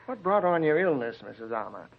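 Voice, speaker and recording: Higher-voiced, calm American police detective. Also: male voice, vocal fry, full character voice. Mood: gently probing. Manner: measured, coaxing questioning. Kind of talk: old-time radio drama acting. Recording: muffled old radio recording.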